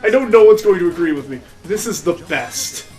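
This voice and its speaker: Guy on a lets play, bit high voice, amused and sarcastic, game music behind him. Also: animated, brisk, darkish tone. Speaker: let's play video host. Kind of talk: casual gameplay commentary.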